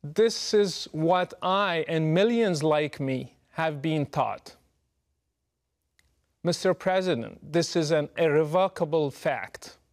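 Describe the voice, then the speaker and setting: A middle-aged man from Morocco, giving a prepared, deliberate and emphatic address straight to the listener. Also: fairly high pitch, animated. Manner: measured, emphatic, deliberate delivery. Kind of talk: prepared direct address to camera.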